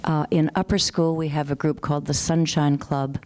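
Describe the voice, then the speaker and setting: Low, steady Minnesotan woman educator. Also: moderate pace. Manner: steady, matter-of-fact listing. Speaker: school educator, panelist. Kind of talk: panel discussion remarks. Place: conference session room.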